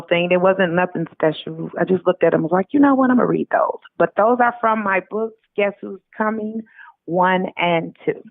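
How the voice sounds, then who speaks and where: A woman's voice: on the low side, dry recording. A woman author speaking informally as a guest, recorded in dull lo-fi audio with music behind her. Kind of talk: reading aloud and informal interview talk.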